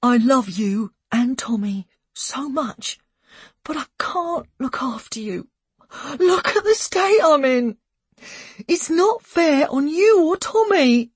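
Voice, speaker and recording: A woman playing a desperate mother in an audiobook, pleading with her young son in a halting, sobbing, breathy voice. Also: sits high, no room sound, voice pushed up.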